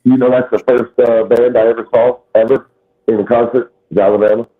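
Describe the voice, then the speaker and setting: Husky low man on a tinny line. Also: little pitch movement, brisk. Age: 40s. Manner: laid-back, swearing casually. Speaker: host. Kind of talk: casual chat.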